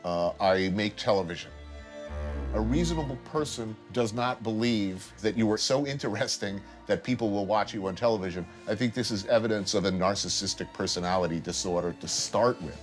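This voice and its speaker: A male television host with a somewhat low voice talks in a dry, wry, conversational way. He swaps stories with the people he meets. Clear music sits under his voice. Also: fairly quick. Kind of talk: casual interview and narration.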